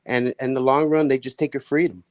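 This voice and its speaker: A man from Cuba speaking earnestly and in a measured way in a casual group voice chat. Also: medium pitch, fairly even intonation, dry recording.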